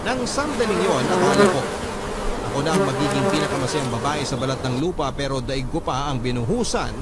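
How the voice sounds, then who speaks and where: Casting: male radio host, slightly high voice, dramatic letter-reading narration over loud music bed. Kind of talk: dramatic scripted narration of a first-person love story.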